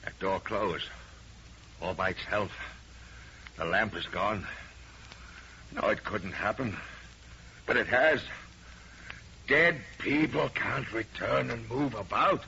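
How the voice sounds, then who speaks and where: Murderous husband losing his nerve, gravelly, mutters then shouts, noisy old radio horror show. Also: lowish voice, slow pace, long pauses, lo-fi sound, full character voice. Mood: shaken, fearful. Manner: theatrical, swinging from muttered dread to shouting. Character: murderous, menacing husband cracking with fear.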